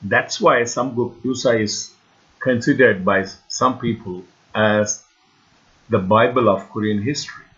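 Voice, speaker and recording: A man with a Tamil Indian accent, a native Tamil speaker, giving a scripted lecture in formal, deliberate phrasing. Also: on the low side, fairly bright tone. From India, Tamil region. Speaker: researcher and lecturer. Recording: dull, lo-fi voice recording.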